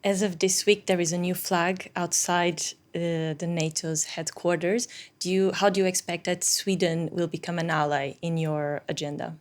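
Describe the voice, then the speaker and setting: Lady interviewer, lowish voice, asks questions kinda carefully, rewords them midway. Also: little pitch movement. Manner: measured, rephrases questions as she asks. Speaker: interview host.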